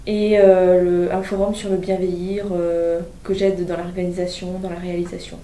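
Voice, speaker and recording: A woman of twenty-one, a civic service volunteer, speaking in a measured way about her work. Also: lowish voice, little pitch movement, warm tone. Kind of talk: personal testimonial. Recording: roomy distant recording, faint noise.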